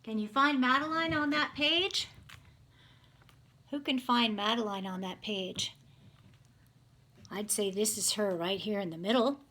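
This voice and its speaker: A woman's voice: medium pitch, a little slow, pauses often, pushed and bright. A woman reading a picture book aloud to children, expressively, stopping to ask them simple questions. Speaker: children's read-aloud storyteller.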